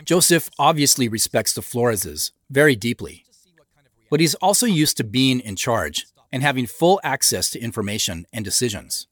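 A man delivers scripted commentary in a measured, explanatory way. His mid-range voice moves expressively in pitch, and the recording is very dry and close. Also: lively intonation, a bit bright, no room sound. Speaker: show creator and commentator.

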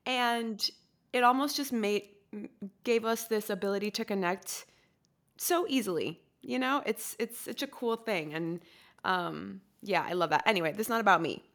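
A woman from Costa Rica hosts a casual podcast. She talks in a chatty, animated way. Her voice is mid-pitched, and she laughs easily. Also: normal speed. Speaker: podcast host.

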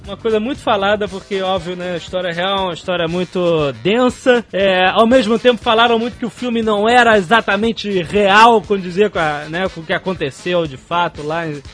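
High-voiced Brazilian man, eager podcast host. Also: brisk, few pauses, a bit bright, soft background music. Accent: Brazilian Portuguese. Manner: eager, agreeing, informal. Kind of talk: casual podcast interview chat.

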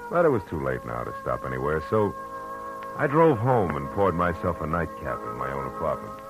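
A weary hard-boiled private eye murmurs his story in a very deep voice. His narration is low and reflective, with music under it, like an old radio broadcast. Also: very animated, normal speed, gravelly, exaggerated voice, low-quality recording. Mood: brooding. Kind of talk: 1940s radio drama narration.

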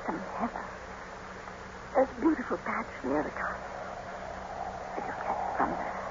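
A woman's voice: fairly high pitch, sing-song, normal speed, noisy background. Feverish dying young lady, muffled old radio. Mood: faint, wistful. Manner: theatrical, yearning, pleading. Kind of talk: 1950s radio drama acting.

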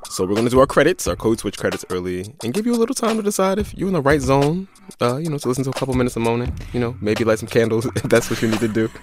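A man's voice: medium pitch, expressive, very fast, background noise. An American man hosting a podcast casually, with a laid-back, playful manner. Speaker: podcast host.

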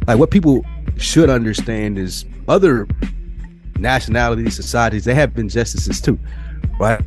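A man's voice: mid-range voice, normal speed, over music. A man co-hosting a podcast, arguing his opinions casually and bluntly, with plenty of swearing. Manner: blunt, profane, argumentative. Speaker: podcast co-host.

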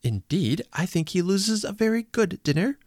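A man's voice: high-pitched, sing-song, normal speed, a little mellow, dry recording, studio quality, pitched above the performer's own voice. A man voicing an earnest, indignant young wife in a storybook reading. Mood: emphatic. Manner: earnest, emphatic.

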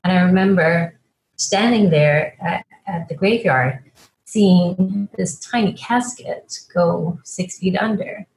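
A woman from Guyana, a fertility doctor and author, telling her story as a podcast guest in a measured way with frequent fillers. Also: lowish voice, a little mellow, low-quality recording. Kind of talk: podcast interview.

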